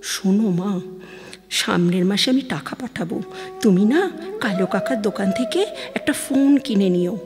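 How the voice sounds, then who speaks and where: A woman playing a soft-spoken, affectionate young adult daughter phoning home in an audio drama, gentle in manner, with a Bengali accent. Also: medium pitch, lively intonation, normal speed, long pauses, voice pushed down, natural voice. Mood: caring. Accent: Bengali, West Bengal. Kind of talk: dramatic audio-play phone dialogue. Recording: long studio reverb, music behind.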